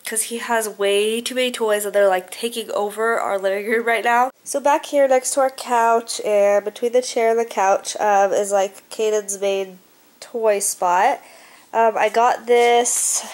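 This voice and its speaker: A woman's voice: mid-range voice, darkish tone. A 21-year-old woman, a stay-at-home mom, chatting casually in a home vlog with a stuffed-up nose from a cold, rambling with lots of "um" and "like". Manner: chatty, rambling, heavy on fillers like um and like. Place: living room at home, indoors. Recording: noisy home camera audio.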